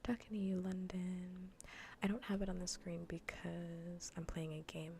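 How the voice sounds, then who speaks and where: A woman streaming in a soft, breathy, often whispered ASMR voice, chatting informally and playfully close to the mic. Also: fairly low pitch, level tone, normal speed, a little hiss or hum. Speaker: streamer hosting a live cozy gaming broadcast. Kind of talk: soft-spoken ASMR casual chat. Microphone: close ASMR microphone.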